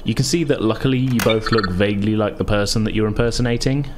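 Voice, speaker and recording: A man's voice: fairly low pitch, fast, a little hiss or hum. A man narrating a tabletop roleplaying game as game master, descriptive and conversational.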